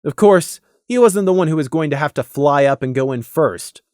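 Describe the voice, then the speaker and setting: A woman reading scripted story narration in an expressive, dramatic way, as an audiobook narrator. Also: sits low, moderate pace, no echo, clean studio sound.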